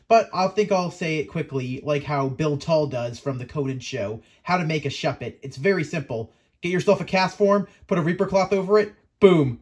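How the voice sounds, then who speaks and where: Male show host, higher-pitched voice, theatrical and chatty, playing a professor who addresses viewers directly. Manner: theatrical, chatty, poses questions to the audience. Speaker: host of a Pokemon education show. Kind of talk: presenter monologue to viewers, playfully in character as a professor.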